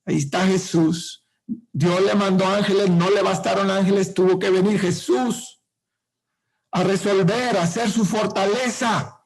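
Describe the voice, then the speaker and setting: Male preacher, high gravelly voice, impassioned sermon delivery. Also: fairly even intonation, rough recording. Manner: impassioned, drawn-out emphatic phrasing; speaks directly to the congregation.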